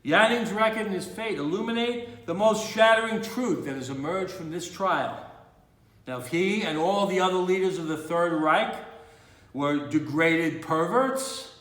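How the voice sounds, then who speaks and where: A man acting a grave, resolute judge in a theatrical courtroom monologue, with measured, emphatic oratory. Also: high-pitched, a bit bright. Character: grave, morally resolute male judge delivering a verdict. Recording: roomy, echoey indoor room.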